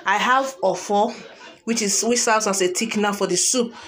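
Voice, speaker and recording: A woman's voice: mid-range voice, moderate pace, a bit bright. A young woman with a Nigerian accent chatting casually to viewers as a cooking vlogger, recorded at home on a phone. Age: young adult. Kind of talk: casual vlog chat to viewers. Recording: music over the voice.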